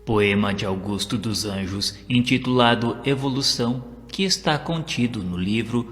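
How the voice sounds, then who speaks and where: A man with a Brazilian accent reading devotional stories and poetry as a narrator, in a solemn, expressive, declamatory way. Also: mid-range voice, normal speed. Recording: long reverb, guitar music behind. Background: plucked guitar music.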